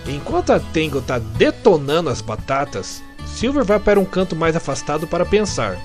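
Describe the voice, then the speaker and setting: A man's voice: fairly high pitch, big pitch swings, moderate pace, music in the background. A Brazilian man narrating a comic-story recap in a conversational, chatty way with amused asides. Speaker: narrator and fan translator of comic stories.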